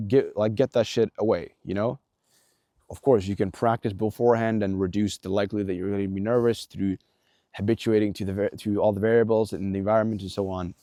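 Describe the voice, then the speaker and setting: A young man talks through a casual self-help monologue in a lowish, mellow voice, blunt and profane, with lots of fillers and restarts. The sound is dry and close, with no room ring. He coaches the listener in an earnest tone. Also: dry recording. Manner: blunt, heavy swearing, many fillers and restarts; earnest, coaching tone aimed at viewer. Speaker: self-improvement vlogger.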